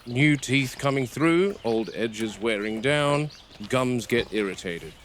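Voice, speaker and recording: A man performs a stern game warden in a found-footage horror drama. He is blunt, dry and sparing with words, in a medium-pitched male voice. Faint tape noise sits over the recording. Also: expressive, takes it slowly, fairly bright tone. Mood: matter-of-fact, observing. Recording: noisy degraded VHS tape sound.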